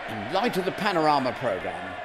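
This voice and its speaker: A man's voice: medium pitch, sing-song, brisk, lightly acted. A man playing an embattled, defiant Prime Minister, making a formal, declamatory political speech in a radio drama. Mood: solemn, defiant. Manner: formal, declamatory, self-justifying. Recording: noisy chamber with crowd murmur.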